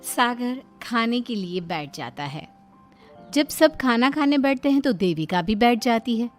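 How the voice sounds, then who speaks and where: A woman's voice: on the high side, lively intonation, a little slow, faint music behind. A woman reading a scripted moral story as its narrator.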